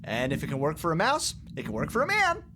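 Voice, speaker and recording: A man playing an eccentric, lonely mad scientist and loyal friend in an amateur audio drama, excitable and halting when he confides. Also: on the high side. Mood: brisk, upbeat.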